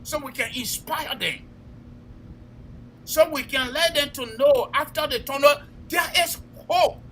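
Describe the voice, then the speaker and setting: A man's voice: extremely high pitch, measured pace, fairly bright tone, a little hiss or hum, poor audio. A man with a Liberian accent giving impassioned political commentary, speaking emphatically and exhorting listeners with repeated phrases. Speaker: co-host of a political talk show.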